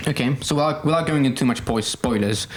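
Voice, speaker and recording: A teenage male podcast co-host making a casual announcement in a halting, explanatory way. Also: medium pitch. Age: teens. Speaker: student podcast co-host and coordinator.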